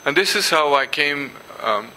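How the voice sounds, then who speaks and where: An older man whose first language is Dutch gives a symposium lecture, pausing often with ums and restarts and reading passages aloud. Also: a little high, animated, pushed and bright, slight background noise, low-quality recording. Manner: hesitant, many ums and restarts. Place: lecture hall.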